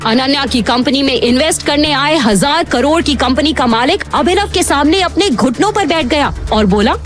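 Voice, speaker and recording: A woman's voice: sits high, animated, normal speed, faint music behind. A woman narrating a scripted audio story in a dramatic style.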